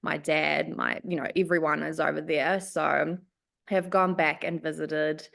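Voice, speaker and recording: A woman from Finland with a New Zealand accent speaks in a slightly low voice. She talks in a chatty, animated way as an interview guest, sprinkling in ums and light laughs. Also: a little slow, gravelly. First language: Swedish and Finnish. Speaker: guest, spiritual life coach. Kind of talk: casual podcast interview.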